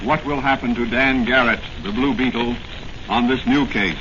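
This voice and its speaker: A man's voice: mid-range voice, normal speed. Male vintage radio announcer, muffled and noisy. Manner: dramatic, formal. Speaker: announcer and narrator. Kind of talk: 1940s radio serial narration.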